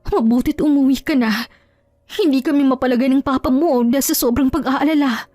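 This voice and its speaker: Casting: female reader as worried, expressive mom for a romance audio story, high voice, dry sound. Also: fairly quick, dry recording, faint music behind, lightly acted. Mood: relieved, worried. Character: doting, worried, outspoken mother.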